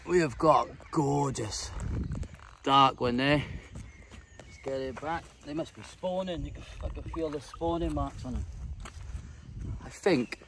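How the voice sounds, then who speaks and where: A man's voice: fairly high pitch, unhurried, long pauses, a bit bright. A man in his thirties giving informal, chatty fishing commentary in short bursts, recorded outdoors. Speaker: angler presenting a fishing guide. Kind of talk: casual vlog commentary while fishing. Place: outdoors on a wooded lake bank. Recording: outdoor recording with clear nature noise.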